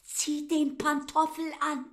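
An actress playing an anxious, fussing wife in a radio drama, urging in a drawn-out, plaintive way. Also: female voice, high-pitched, flat delivery.

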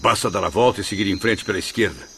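Tormented educated spirit, haughty, mournful, slightly deep voice, radio drama, background night-insect noise. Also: male voice, quick pace, sharp tone. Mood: bitter. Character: anguished, bitter, cultured male suicide spirit in exile.

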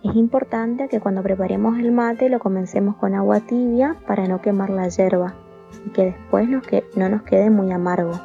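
A woman's voice: mid-range voice, fairly even intonation, normal speed, creaky, dark tone. A woman carefully explaining steps. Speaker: interviewee. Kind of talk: giving instructions. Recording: dull lo-fi sound with faint music.

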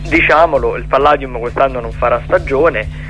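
Male radio contributor, mid-range voice, casual and halting delivery with music underneath. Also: mid-range voice, a bit bright, lo-fi sound. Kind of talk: casual radio talk on cultural listings.

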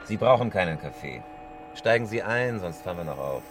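An actor playing a cold, menacing professional robber in a German radio crime drama, terse, commanding and unemotional. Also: male voice, low-pitched, lively intonation, fairly quick, over music. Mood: cold, curt.

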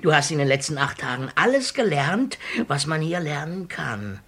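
An actor playing a wily old master of child pickpockets in a theatrical, wheedling 1950s radio drama manner. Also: male voice, fairly high pitch, sing-song, moderate pace, fairly bright tone, husky, exaggerated voice. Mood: gleeful. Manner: theatrical, wheedling, cackling, repeats words. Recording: noisy 1950s radio recording.

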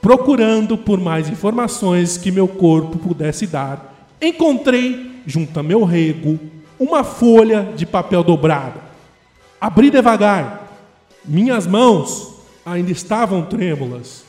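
A man's voice: sits high, big pitch swings, slow, a little mellow, heavily acted. A man playing a disoriented, humiliated character giving a solemn first-person monologue in an audio drama. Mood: dazed, solemn. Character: disoriented, humiliated man recounting his grim awakening. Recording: long echoing reverb, music behind.

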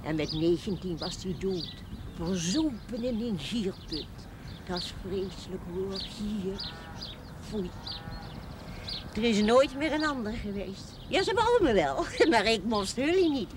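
An actress playing a chatty, plain-spoken old spinster smallholder in a television period drama, folksy and wry. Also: female voice, mid-range voice, big pitch swings, takes it slowly. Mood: reminiscing. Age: older. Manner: folksy, blunt, wry, talkative. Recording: noisy old TV soundtrack with farmyard birds.

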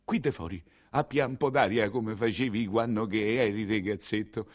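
A man playing a sorrowful, sentimental hundred-year-old general in a theatrical radio monologue, halting and repetitive, with a Roman accent. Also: fairly low pitch, natural voice. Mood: tender, insistent. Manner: halting, stammering, repeating himself.

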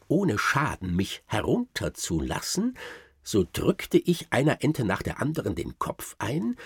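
Radio play raconteur: self-satisfied gentleman hunter, lowish voice with very animated, swooping delivery. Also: breathy edge, dry recording, clean studio sound, heavily acted. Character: boastful gentleman hunter spinning absurd tall tales. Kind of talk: theatrical first-person storytelling, German radio drama.

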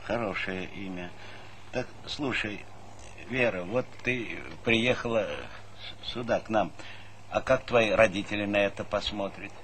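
A man's voice: lowish voice, unhurried, fairly bright tone. An actor playing a middle-aged collective farm chairman in a Soviet stage comedy, hearty, fatherly and insistent, haggling and hesitating mid-phrase. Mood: gentle, fatherly. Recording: noisy old 1970s theatre recording.

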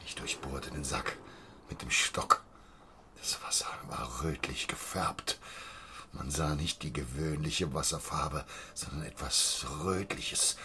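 An actor in a radio play voicing an obsessive small-town butcher, insistent and conspiratorial, dwelling on grim details. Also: male voice, a bass voice, pushed and bright, slight background noise, exaggerated voice. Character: obsessive, gruesome small-town butcher spreading hateful rumours. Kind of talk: radio drama acting.

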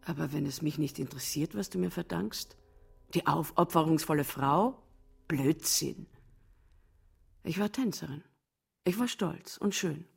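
An actress in a radio drama playing a bitter, proud, long-suffering wife, reproachful and theatrical. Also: female voice, sits low, measured pace, creaky, pauses often, breathy edge, exaggerated voice. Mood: bitter, aggrieved. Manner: reproachful, theatrical, emotional monologues. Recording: studio radio play over music.

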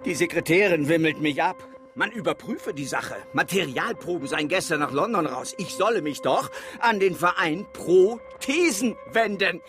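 An ailing middle-aged adventurer in a radio play, brooding then exclaiming. His pitch swings widely and music runs beneath him. He sounds indignant and dramatic. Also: lively intonation, moderate pace. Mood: aggrieved. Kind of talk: German radio drama monologue.

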